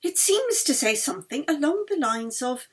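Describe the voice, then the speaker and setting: A woman narrator voicing an earnest woman who believes in séances, questioning and gently chiding. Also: high-pitched, sing-song, normal speed, voice pushed up. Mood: intrigued.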